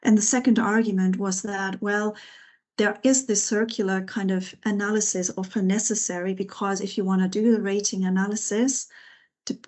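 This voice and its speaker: Woman explaining in a very even tone. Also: mid-range voice. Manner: explanatory, long winding sentences with hesitant pauses. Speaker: tax specialist panelist. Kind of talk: expert panel discussion, unscripted.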